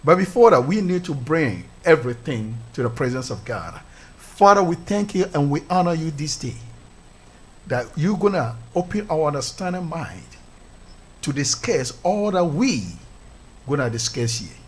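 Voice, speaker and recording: A man's voice: on the high side, expressive, moderate pace, slightly rough. A man, a pastor hosting a church radio show, interviewing a guest and praying in a fervent, formal way. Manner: fervent, formal, repeats hallelujah.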